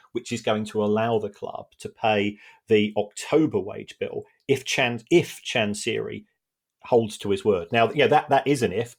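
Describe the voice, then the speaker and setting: Gravelly man explaining carefully. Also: on the low side. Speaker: podcast expert and analyst. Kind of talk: explanatory conversational analysis.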